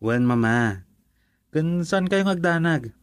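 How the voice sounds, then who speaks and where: A man's voice: on the high side, animated, slow pace, clear, resonant tone, dry recording, studio quality. A young man with an Ilocano accent acting an earnest, pleading grown son in a radio drama. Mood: insistent.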